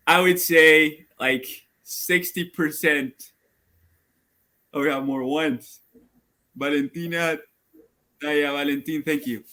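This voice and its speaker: A man's voice: on the high side, sharp tone. A young man from Argentina giving a casual group presentation on a video call, talking in an upbeat way with many fillers. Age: young adult. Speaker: student presenter.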